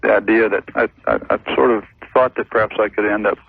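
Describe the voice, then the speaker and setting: Hesitant caller, gravelly, tinny phone line. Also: male voice, lowish voice, steady tone, dry recording. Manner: hesitant, halting. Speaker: caller to a radio advice show. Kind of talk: casual phone conversation. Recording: tinny phone line on taped radio broadcast.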